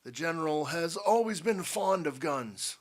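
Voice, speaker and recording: A man voicing an old grandfather in an audiobook story, courteous and deliberate, commanding yet kindly. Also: on the high side, animated, pitched above the performer's own voice. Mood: kindly, firm.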